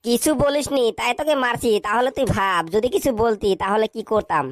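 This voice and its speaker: A woman's voice: on the high side, no room sound, close to an everyday voice. A woman voicing a bad-tempered, bullying schoolteacher who scolds and fires questions in a comic cartoon. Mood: angry scolding. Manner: scolding, hectoring, rapid questioning.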